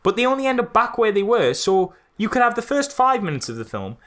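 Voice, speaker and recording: A man's voice: high voice, very animated, a little fast, a bit bright. A man co-hosting a podcast in casual film critique banter, with an emphatic, incredulous way of talking. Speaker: podcast co-host.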